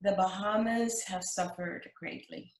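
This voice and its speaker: An older woman from Cuba recounting her life as an interview guest, speaking in measured, halting phrases. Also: mid-range voice, fairly even intonation. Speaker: interview guest, retired flight attendant. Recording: echoey, roomy sound.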